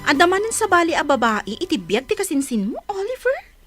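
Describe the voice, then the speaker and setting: A woman's voice: extremely high pitch, very animated, a little fast, over music. A woman playing an outspoken, sympathetic young village friend in a radio drama, with an Ilocano accent. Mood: indignant, teasing.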